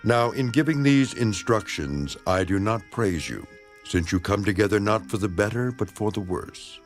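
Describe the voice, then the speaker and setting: A man giving a dramatized scripture reading in a solemn, declamatory, measured manner. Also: on the low side, expressive. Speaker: narrator of a dramatized Bible reading. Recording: voice over background music. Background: music.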